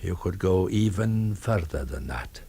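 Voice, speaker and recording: A man's voice: low voice, lively intonation, measured pace, warm tone, slightly rough, full character voice. An actor playing a stern, tired male police inspector in a radio drama, clipped, probing and authoritative. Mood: cool, probing.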